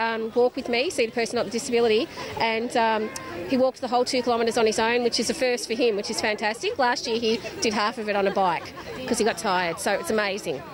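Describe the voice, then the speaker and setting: A woman with an Australian accent giving an informal interview as a parent, outdoors at an event. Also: on the high side. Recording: outdoor event with crowd noise.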